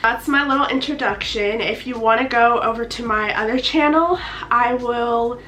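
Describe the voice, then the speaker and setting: A woman's voice: fairly high pitch, moderate pace, bright, forceful tone, slight background noise, poor audio. A nineteen-year-old woman with a congested, stuffy voice, chatting bubbly and gushing in a casual book vlog. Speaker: book vlogger. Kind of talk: casual chat to camera.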